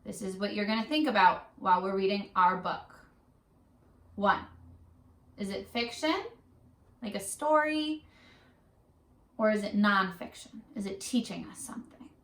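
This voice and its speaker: A woman teacher leading a read-aloud lesson for young children, in an animated, questioning, sing-song way. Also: mid-range voice, a little slow, pauses often. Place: classroom-style room. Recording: echoey room, distant mic.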